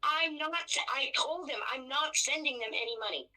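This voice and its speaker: A woman with a raspy voice venting casually in a blunt, profane, self-deprecating way. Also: high voice, normal speed, pushed and bright, poor audio.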